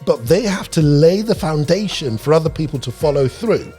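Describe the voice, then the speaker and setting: A man from the UK co-hosting a podcast in a studio, making his points in a measured, steady way. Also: on the high side, faint music behind. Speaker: podcast co-host. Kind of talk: casual chat. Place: radio studio.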